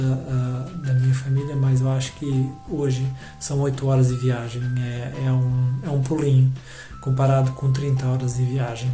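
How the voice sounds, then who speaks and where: Halting, mellow-voiced Brazilian man. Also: medium pitch. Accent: Brazilian Portuguese with some European Portuguese. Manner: halting, many pauses and repeated words. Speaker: emigrant telling his story. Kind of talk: reflective personal testimony. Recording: echoey room with faint music.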